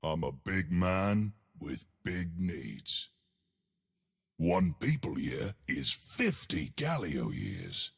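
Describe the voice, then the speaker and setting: An actor playing a huge, ancient living stone colossus for a video game, with a gravelly, husky voice and hearty, simple-minded bravado. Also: male voice, sits low, very animated, drawn-out words, exaggerated voice. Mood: proud, amiable. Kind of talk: video game character voice lines.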